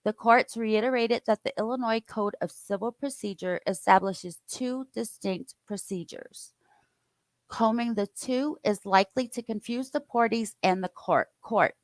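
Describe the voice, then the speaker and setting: A woman hosting a casual online show, reading court filings aloud in a steady, careful way with side comments. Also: a little high, a little slow, no echo. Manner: steady, careful reading with occasional stumbles and asides. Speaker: host reading court documents.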